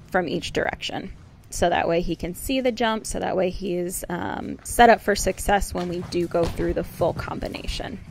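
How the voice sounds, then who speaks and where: A woman's voice: mid-range voice, a little mellow. A woman giving chatty, affectionate, casual vlog commentary as a horse rider. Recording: clear background noise.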